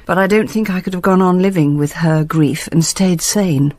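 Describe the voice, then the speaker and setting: An actress playing a bitter, grieving grandmother, delivering a deliberate confessional letter as a radio drama monologue. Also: on the low side, darkish tone, no room sound, close to an everyday voice. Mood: anguished confession.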